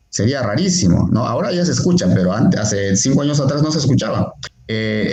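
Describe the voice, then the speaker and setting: A man's voice: mid-range voice, a little mellow. A man, a Quechua teacher and translator, explaining earnestly as an interview guest, with many fillers and restarts. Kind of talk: interview over a call, explaining. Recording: echoey, dull lo-fi call audio.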